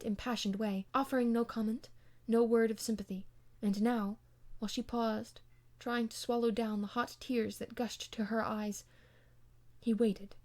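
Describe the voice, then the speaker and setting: Amateur audiobook narrator, woman, fairly high-pitched, scripted storytelling of period fiction. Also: mellow.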